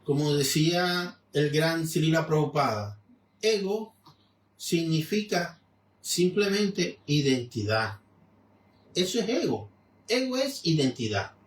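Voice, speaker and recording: Male lecturer, calm explanatory reading, faint background noise. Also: on the high side, distant mic. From Venezuela. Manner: measured, explanatory, many restarts. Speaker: religious lecturer reading and commenting. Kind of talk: spoken reading with commentary. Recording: echoey room, off-mic with faint noise.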